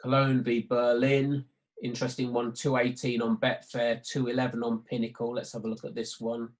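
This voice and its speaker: Male trading tutor, mid-pitched voice, hesitant and repetitive, talking through live markets on an online call. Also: monotone, normal speed. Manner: hesitant, repetitive, thinking aloud. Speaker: sports betting trading tutor. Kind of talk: live market walkthrough commentary.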